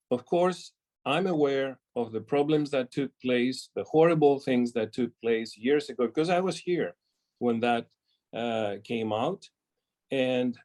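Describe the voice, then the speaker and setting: A man's voice: medium pitch, a little mellow. A middle-aged man from Greece with a Greek accent, speaking hesitantly with many fillers as a community member on an online public meeting. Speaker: community member asking a question. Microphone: video call.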